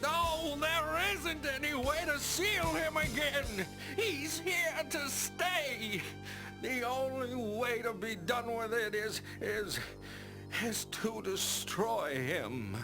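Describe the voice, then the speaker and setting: Cackling, high-pitched cranky old wizard. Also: female voice, expressive, over music, full character voice. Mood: grave. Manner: cackling, exclamatory, drawn-out. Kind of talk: cartoonish video game acting.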